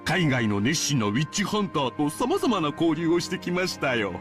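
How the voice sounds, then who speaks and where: Self-satisfied mystery enthusiast, visual novel style, rapid theatrical explaining, lively swooping intonation, clear backing music. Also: male voice, fairly high pitch. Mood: relishing explanation. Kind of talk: Japanese visual novel voice acting. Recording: game audio with clear music behind.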